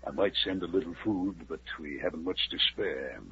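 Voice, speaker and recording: An actor playing a cautious army colonel, formal and measured and sometimes hesitant, in a 1950s radio drama. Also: male voice, low voice, bright, forceful tone, slightly rough, exaggerated voice. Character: cautious middle-aged male army colonel. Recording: muffled old radio recording with faint hiss.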